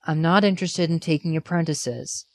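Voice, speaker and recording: A woman's voice: deep voice, normal speed, darkish tone, no echo. Woman playing a sarcastic boy sword master. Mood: sardonic. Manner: dry, sarcastic and curt. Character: young arrogant male sword master, sardonic.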